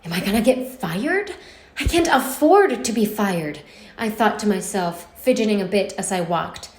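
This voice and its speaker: A woman narrates a story in the first person with an expressive delivery. Her voice sits at a middling pitch. The recording is roomy and echoing. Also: normal speed. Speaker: story reader.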